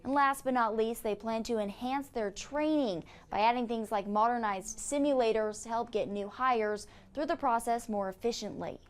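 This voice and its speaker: Female TV news reporter, American, slightly high register, scripted live-report delivery. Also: moderate pace, hardly any pauses, clean tone. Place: on location at an airport for live segments.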